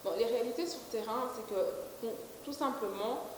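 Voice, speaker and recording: A woman's voice: on the high side, brisk. A woman in her twenties, a company director, answering interview questions with many fillers and restarts. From Belgium. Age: 20s. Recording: echoey room with faint hiss.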